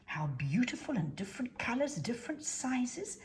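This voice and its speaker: A woman's voice: fairly high pitch, big pitch swings, normal speed, pitched above the performer's own voice. A woman voicing an eager, bold little boy bear who leads the other cubs in a children's story. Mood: amazed.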